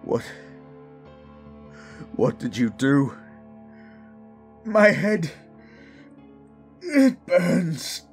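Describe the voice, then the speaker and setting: A man's voice: on the high side, big pitch swings, extremely slow, pauses often, soft background music, heavily acted. A man playing a dazed captive in pain, straining to resist mind control in a fantasy audio drama. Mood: agonized confusion.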